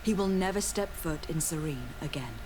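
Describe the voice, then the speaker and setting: Low-voiced, poised noblewoman. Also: animated, background noise. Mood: composed, formal. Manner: formal, measured, composed. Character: poised young noblewoman envoy, diplomatic and firm. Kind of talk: video game dialogue.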